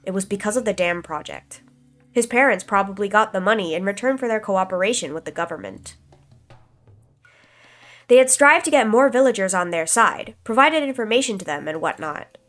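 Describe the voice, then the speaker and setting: Stuffy-nosed woman calmly reading a visual novel. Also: mid-range voice. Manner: calm, even-paced narration with casual asides. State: stuffy nose, slight cough. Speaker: streamer.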